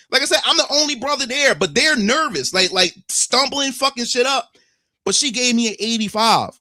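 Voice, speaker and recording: A young adult man with a Philadelphia accent, telling a story in a casual, animated monologue, swearing freely and often restarting his phrases. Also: a little high, no echo, clean studio sound. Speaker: streamer.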